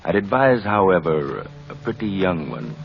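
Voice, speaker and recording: An actor playing an authoritative, reassuring family doctor with a formal, advisory manner in an old radio drama. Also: male voice, low voice, very animated, normal speed, creaky, faint music behind, heavily acted. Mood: reassuring, advising. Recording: muffled old radio recording.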